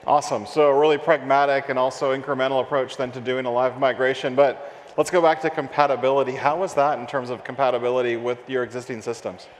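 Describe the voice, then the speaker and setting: A man of middle pitch hosts an onstage tech interview and sums up his guest's answers in an upbeat, affirming way. He uses a headset mic on a big conference stage, and the sound has a long hall reverb and faint ambient noise. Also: fairly even intonation, fast talker. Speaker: host. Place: large conference stage before an audience.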